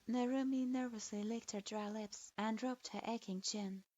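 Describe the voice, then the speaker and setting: A woman narrating fan fiction aloud in a steady storytelling manner, recorded with a dull lo-fi sound. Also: on the high side, moderate pace.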